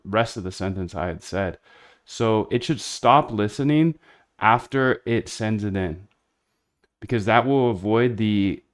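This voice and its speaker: A man's voice: on the low side, clear, resonant tone. A man talking casually and informally, thinking aloud as a developer while he works. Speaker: developer hosting a coding video. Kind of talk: casual talk while working and testing.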